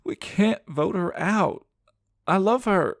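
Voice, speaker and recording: A man's voice: slow pace, dry recording, studio quality. A man voicing a frightened young woman who pleads through tears. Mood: tearful. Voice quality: raised falsetto-like pitch.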